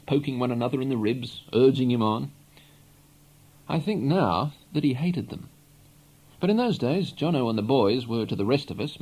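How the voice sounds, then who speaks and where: A man reading a literary novel aloud in an expressive, measured storytelling manner. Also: mid-range voice, rough recording. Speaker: audiobook reader. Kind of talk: literary narration, read aloud.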